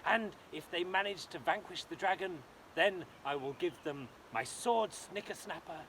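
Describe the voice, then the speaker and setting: A man's voice: high voice, moderate pace, a bit bright, slight background noise, pitched above the performer's own voice. A man voicing a frustrated, angry old king in a told story. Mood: commanding. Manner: fretful, exclaiming.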